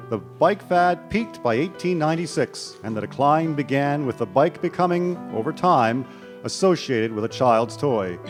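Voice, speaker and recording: A man's voice: medium pitch, close to an everyday voice. A man narrating a history radio play in a scripted storytelling style. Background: soft plucked guitar music.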